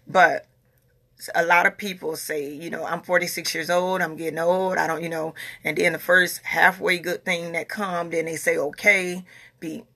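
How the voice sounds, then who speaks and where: Gravelly, earnest woman in her forties. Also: fairly low pitch, flat delivery, moderate pace, sharp tone. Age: 40s. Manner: earnest, repeats herself, talks in a Southern US dialect. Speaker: vlogger. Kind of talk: casual talk to followers.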